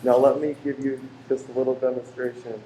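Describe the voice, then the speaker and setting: A man's voice: medium pitch, little pitch movement, a little fast, warm tone, close to an everyday voice. A man playing a pushy street salesman in a radio play, delivering declamatory, bossy sales patter. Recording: echoey room with faint noise.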